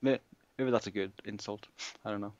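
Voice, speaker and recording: A man's voice: fairly low pitch, fairly even intonation, brisk, darkish tone, dry recording, lo-fi sound. A man, an amateur voice actor, chatting casually between takes.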